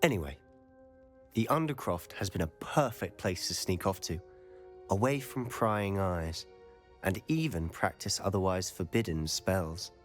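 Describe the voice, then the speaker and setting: An actor playing a confident, mischievous and secretive teenage male wizard student in a video game, speaking in a measured, confiding manner. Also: low-pitched, lively intonation, soft background music. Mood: confiding, earnest. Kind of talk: video game dialogue acting.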